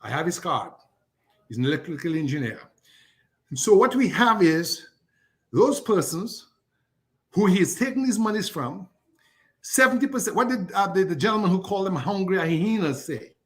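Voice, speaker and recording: Fiery high-voiced older man. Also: lively intonation, moderate pace, pauses often. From Dominica. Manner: fiery, repetitive rhetorical lists. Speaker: guest commentator. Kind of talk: impassioned political talk show commentary.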